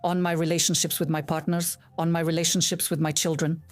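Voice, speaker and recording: A woman's voice: low-pitched, flat delivery, a little fast, faint music behind. A woman in her fifties from Bulgaria, a guest telling her life story in an earnest, heartfelt, reflective way. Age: 50s. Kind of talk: personal storytelling in an interview.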